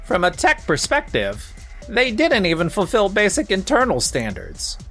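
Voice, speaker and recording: Manga dub boss, male actor: stern, probing, higher-pitched, very animated intonation. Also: moderate pace, faint music behind, heavily acted. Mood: reproachful. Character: stern, exacting corporate manager confronting a subordinate. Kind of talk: scripted manga dub voice acting.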